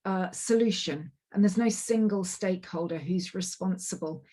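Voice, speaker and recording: Slightly croaky woman presenting. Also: on the low side, normal speed, mellow. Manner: chatty, apologetic, many ums and restarts. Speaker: climate project manager giving a webinar talk. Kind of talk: online presentation, loosely scripted. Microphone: computer mic on a video call.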